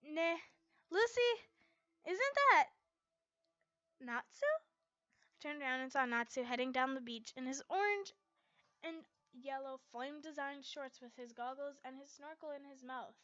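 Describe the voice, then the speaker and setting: Woman reading a story out loud, kinda high bright voice, dry muffled lo-fi mic. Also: moderate pace, no room sound. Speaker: amateur fan fiction reader. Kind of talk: expressive story narration, character dialogue acted out.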